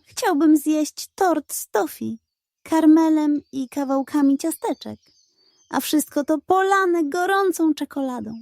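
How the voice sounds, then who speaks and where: An eager little puppy hero from a storybook, as a woman plays him. He sounds high and wide-eyed, full of curiosity and playful excitement. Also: takes it slowly, creaky, no echo, studio quality, exaggerated voice. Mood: dreamy.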